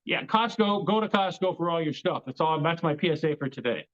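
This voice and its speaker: A man with a fairly high voice speaks as a guest on a casual interview podcast. His audio is dull and lo-fi. He rambles easily, often restarting his sentences. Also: expressive, fairly quick. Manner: chatty, rambling, frequent restarts. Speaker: guest, game show creator.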